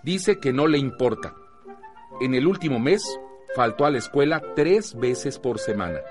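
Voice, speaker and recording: A man with a Mexican accent playing a patient teacher who narrates a radio drama in a measured, didactic way. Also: mid-range voice, expressive, over music, close to an everyday voice. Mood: explanatory. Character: patient male teacher narrating his teenage students' stories.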